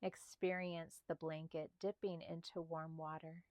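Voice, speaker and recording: A woman guiding a meditation in a soft, slightly breathy voice, speaking calmly and soothingly in short, spaced phrases. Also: deep voice, a little slow, no echo. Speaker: meditation guide. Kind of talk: guided meditation narration.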